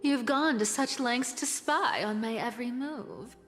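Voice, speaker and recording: An actress playing a sly, guarded trickster woman in a video game, teasing yet wounded, often dropping into hushed asides. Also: on the high side, a little slow, big-room sound, soft background music. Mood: teasing, defiant.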